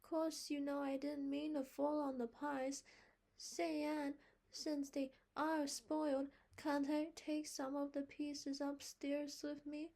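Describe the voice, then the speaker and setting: Female reader voicing a naughty little boy in a storybook: whiny, pleading, pitched well above her own gravelly voice. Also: little pitch movement, slow pace, warm tone, full character voice. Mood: wheedling. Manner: whimpering, pleading.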